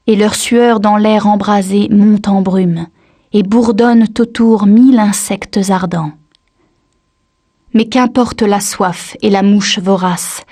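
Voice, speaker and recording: Woman reading a poem out slowly, kinda breathy and dark voice, solemn. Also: mid-range voice, no room sound, studio quality. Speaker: poetry reader. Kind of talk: literary poem recitation.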